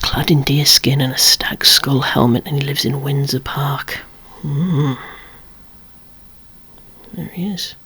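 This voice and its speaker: A softly whispering collector presenting a toy collection ASMR-style, in a rambling, chatty way with lightly wry asides. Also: mid-range voice, a little hiss or hum. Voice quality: breathy, hushed whisper.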